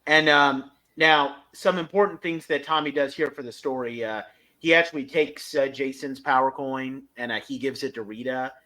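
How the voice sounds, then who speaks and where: Bright, forceful man recapping for a podcast. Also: mid-range voice, normal speed. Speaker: podcast co-host. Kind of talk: casual review recap.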